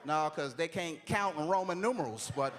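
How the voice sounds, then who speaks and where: A man delivers a stand-up set in an easy, conversational storytelling way. His voice sits high for a man. A faint noise runs underneath. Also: expressive, moderate pace. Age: 40s. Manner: conversational storytelling, repeats "you know what I'm saying". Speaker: stand-up comedian. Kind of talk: stand-up comedy routine.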